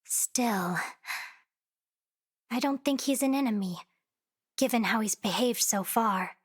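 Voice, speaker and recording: A woman's voice: fairly high pitch, breathy edge. An actress playing a composed, polite, analytical young woman in a video game, speaking formally. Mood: composed, curious. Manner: formal, measured.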